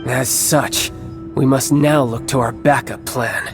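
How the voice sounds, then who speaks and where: A man's voice: mid-range voice, fairly even intonation, music in the background, natural voice. Smug, theatrical young villain played by a man. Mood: smug, disdainful. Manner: measured, smug, theatrical, formal phrasing. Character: cocky young battle-hungry male villain, smooth and scheming. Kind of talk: dramatic video game cutscene acting.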